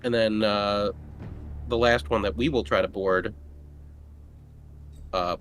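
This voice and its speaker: Lowish-voiced male tabletop gamer. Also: steady tone, takes it slowly, fairly bright tone, slight background noise. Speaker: tabletop game player. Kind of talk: casual game table chat.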